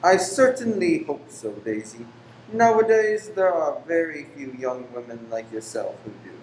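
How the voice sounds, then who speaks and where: A man's voice: a little high, animated, a little slow, darkish tone. A man acts a secretive, pious lodger in a radio play. He speaks formally and sternly, moralizing in antique phrases. The room is echoey, with a faint noise underneath. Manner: formal, archaic, preachy phrasing. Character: stern, pious, secretive male lodger preaching against pleasure.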